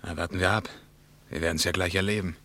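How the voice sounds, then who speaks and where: Low, quick-spoken defiant traveler. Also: male voice, steady tone, sharp tone, dry recording. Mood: calm, watchful. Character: curious, defiant male German traveler, stubbornly unruffled. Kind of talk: radio play dialogue.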